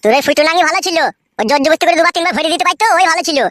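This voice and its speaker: Man voicing a high-pitched, rapid-fire foul-mouthed cartoon husband. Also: fairly even intonation, fairly bright tone, no room sound, clean studio sound. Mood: angry, frustrated. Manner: coarse, swearing, badgering. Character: foul-mouthed, hot-tempered young village husband, whiny and pestering. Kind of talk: crude comedy cartoon dialogue.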